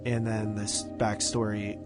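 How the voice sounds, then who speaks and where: A man co-hosting a movie podcast, giving his opinions on films in a casual, halting way. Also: on the low side, completely flat delivery, over music. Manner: halting, filler-heavy opinions. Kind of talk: casual film discussion.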